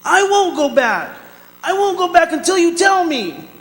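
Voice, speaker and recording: Man acting desperate, high-pitched condemned soul in echoey hall. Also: sing-song, unhurried, a bit bright, exaggerated voice. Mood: stubborn, indignant. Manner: agitated, pleading, insistent. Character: desperate condemned man's wandering soul, bitter and pleading. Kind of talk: old-time radio drama acting on stage. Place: performing arts center hall. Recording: echoey hall, faint noise.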